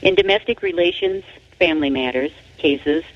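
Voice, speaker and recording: A woman author reading their own book aloud as scripted narration, in a deliberate, emphatic manner. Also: low voice. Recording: dull, lo-fi audio.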